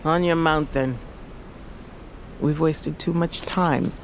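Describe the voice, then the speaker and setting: Low-voiced, solemn old grandmother. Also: steady tone, very noisy. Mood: stern, urgent. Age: older. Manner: solemn, deliberate, commanding. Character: fierce, proud old grandmother, poison master of a fantasy tribe. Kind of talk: audio fiction drama acting. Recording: loud music behind the voice.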